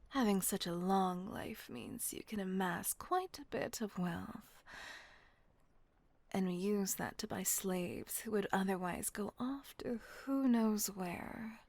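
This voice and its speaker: A woman voicing a gentle, possessive female vampire mistress in a whispered audio roleplay, slow and coaxing. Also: medium pitch, no room sound. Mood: soothing whisper. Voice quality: breathy whisper. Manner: slow, coaxing, softly reassuring.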